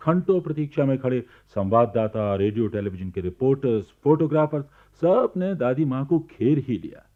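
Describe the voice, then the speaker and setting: A man playing the reflective narrator of a radio play, telling the story in a measured, sympathetic way. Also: medium pitch, lively intonation, natural voice. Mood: storytelling. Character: reflective male narrator, sympathetic observer of grandmother. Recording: tinny, narrow-band archival radio recording.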